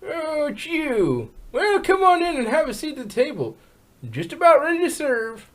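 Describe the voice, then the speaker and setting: A woman voicing a kindly elderly farm pony grandmother in a fan fiction reading, with folksy, drawn-out phrasing. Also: high voice, very animated. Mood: welcoming. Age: old.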